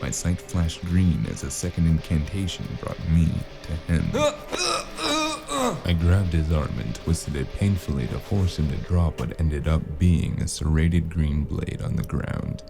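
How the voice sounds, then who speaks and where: Man acting a deep, gravelly hardboiled noir investigator. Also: unhurried, music in the background, full character voice. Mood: urgent, narrating. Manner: dry, sardonic, menacing. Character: cynical, wisecracking hardboiled spacer investigator with magic. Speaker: voice actor playing the lead and narrator. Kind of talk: noir audio drama narration and dialogue.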